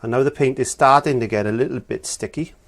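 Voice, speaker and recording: A middle-aged man from Wales with a croaky voice from laryngitis, talking plainly step by step as he teaches acrylic painting. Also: mid-range voice, quick pace. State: hoarse from laryngitis. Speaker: art teacher. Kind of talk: painting tutorial.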